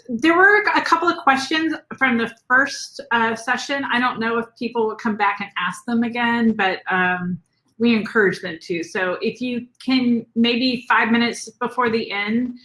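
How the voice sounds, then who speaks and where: A woman moderating the chat, giving quick practical reminders over a video call. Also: a little high, pushed and bright, low-quality recording. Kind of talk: brief housekeeping announcements.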